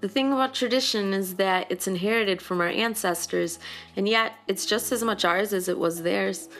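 A woman hosting a podcast, reading a measured, scripted narration. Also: medium pitch, a bit bright, soft background music. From United States. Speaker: host.

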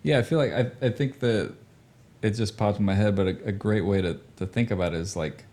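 A man's voice: fairly low pitch, fairly quick, darkish tone. A man in his forties from Indonesia, chatting casually about music in an informal, slangy, storytelling way. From grew up overseas in Indonesia. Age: 40s.

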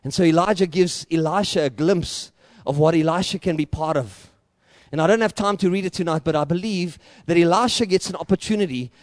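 A middle-aged man with a South African accent, an Afrikaans speaker, preaching a sermon with repetitive, building rhetoric that surges to loud emphatic peaks. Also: fairly high pitch, brisk, no echo, studio quality. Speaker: pastor.

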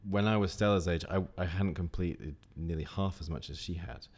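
Deep-voiced man chatting reflectively. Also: normal speed, darkish tone, slightly rough. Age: 20s. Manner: reflective, rambling, laughs often. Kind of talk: casual chat.

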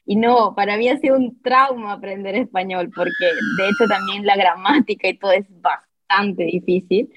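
A woman's voice: mid-range voice, steady tone, moderate pace, hardly any pauses, background noise. A woman whose first language is Italian, talking as a panel guest and explaining things conversationally. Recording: slightly dull, dry audio.

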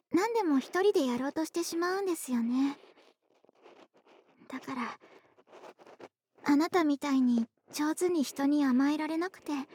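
An actress playing a gentle, polite, caring neighbor woman in an intimate ASMR voice drama, speaking closely to one listener. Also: high voice. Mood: wistful, confiding. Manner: polite, soft-spoken formal speech addressed to the listener. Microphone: close ASMR microphone, dry studio.

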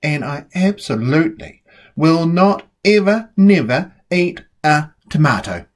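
A woman's voice: low-pitched, animated, unhurried, pitched below the performer's own voice. A woman voicing a fussy, stubborn little girl in a storybook, with emphatic, dramatic delivery. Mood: stubborn, defiant. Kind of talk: storybook character voice.

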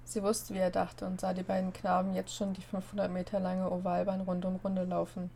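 A woman with a cold hosting a podcast and reading a story aloud in a steady, plain way. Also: lowish voice, fairly quick, creaky, slight background noise. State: has a cold. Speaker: narrator and host.

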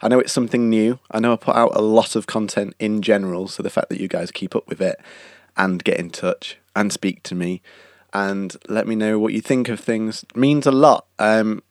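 A man's voice: lowish voice, level tone, normal speed, no room sound, clean studio sound. A young man hosting his podcast in a casual, chatty way, laughing between phrases and carrying a lingering cough. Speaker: podcast host. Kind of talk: casual chat. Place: indoors at a countryside house.

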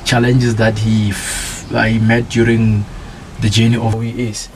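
Soft-spoken male radio host, polite and halting. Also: fairly low pitch, monotone, a little hiss or hum. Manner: polite, hesitant with many fillers. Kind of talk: community radio interview. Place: radio studio.

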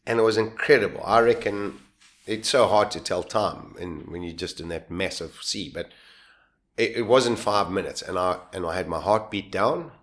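A man being interviewed, telling a survival story in a casual, animated way, often restarting sentences and laughing at himself. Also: sits low, moderate pace.

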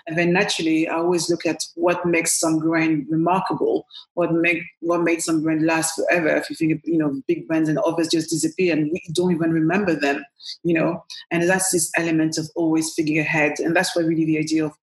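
A woman's voice: low-pitched, flat delivery, fairly quick, reverberant, low-quality recording. A woman with a French accent, a brand strategist guest, answering interview questions in a storytelling way with many "you know" fillers. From France.

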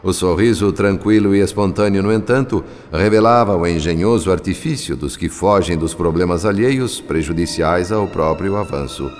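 A man with a Brazilian accent narrating a literary radio drama in a measured, theatrical way with precise diction. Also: low-pitched, a little mellow. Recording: long reverb, music underneath. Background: music.

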